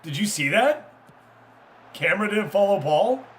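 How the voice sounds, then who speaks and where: A man's voice: high-pitched, very animated, unhurried, a bit bright. A man doing live, informal play-by-play of a football game, chatting casually with viewers between passes. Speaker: streamer commentating a football management game.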